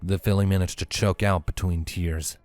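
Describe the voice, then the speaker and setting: A man's voice: low-pitched, steady tone, normal speed, darkish tone, dry recording. A man reading scripted story narration as the narrator, close on the microphone. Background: background music.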